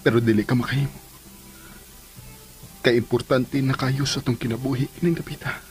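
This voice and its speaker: An actor playing a devoted, insistent middle-aged lover in a melodramatic radio drama, earnest and imploring, with a Filipino accent. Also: male voice, medium pitch, level tone, normal speed, natural voice. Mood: insistent longing. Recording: radio drama with music underneath.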